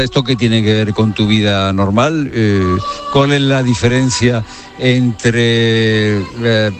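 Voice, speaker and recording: Halting male field reporter, music behind. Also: medium pitch. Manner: hesitant, halting phrasing. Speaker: reporter interviewing pilgrims. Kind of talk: unscripted on-location interview. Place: outdoors in a meadow.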